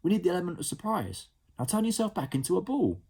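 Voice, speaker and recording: A man voicing a cheeky, mischievous young boy, eager and excitable, who breaks into shouts. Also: high voice, quick pace, slightly rough, voice pushed up, full character voice. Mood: scheming.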